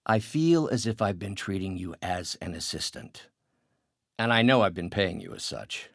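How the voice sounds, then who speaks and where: An actor playing an earnest, self-deprecating older male scientist and mentor in a video game, articulate and formal with a halting delivery. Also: lowish voice, no room sound, clean studio sound.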